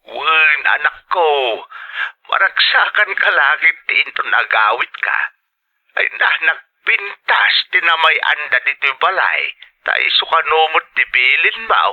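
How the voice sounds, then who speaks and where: A man's voice: a little high, very animated, measured pace. Affectionate older father on a muffled phone line. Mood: fond, grateful. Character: affectionate, grateful older father of a grown daughter. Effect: telephone filter. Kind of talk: audio drama acting. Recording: dull, muffled telephone-like sound.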